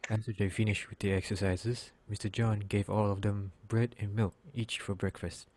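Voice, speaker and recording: Narrator: man, lowish voice, monotone, plainly reading a scripted story. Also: normal speed.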